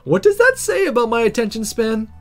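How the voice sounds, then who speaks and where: A gaming commentator reading a visual novel aloud and breaking off to react to the story in a casual, animated way. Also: medium pitch, fairly quick, creaky, faint music behind.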